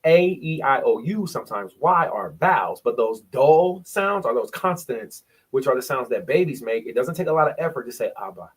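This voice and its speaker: Animated man teaching from a screen. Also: medium pitch, normal speed, few pauses, slightly rough. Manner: animated, repeats words, sounds out syllables. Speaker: bible study presenter.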